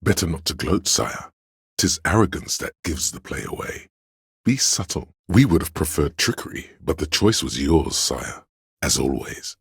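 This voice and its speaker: A scheming, theatrical servant of drama from a video game, counselling his liege in sly, archaic flourishes. The voice is profoundly low and thick with gravel. It is recorded close and very dry. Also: lively intonation, normal speed, no room sound, exaggerated voice. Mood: smug, courtly. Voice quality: gravelly, husky.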